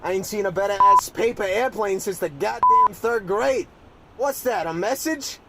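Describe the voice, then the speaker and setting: A man playing a crude, folksy old sailor in a parody of a 1940s radio play, with a gravelly voice. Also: sits high, wildly swooping pitch, normal speed, very noisy, heavily acted. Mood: friendly, crude. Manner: folksy, swearing, exclamatory delivery. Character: foul-mouthed salty old sailor, cheerfully crude.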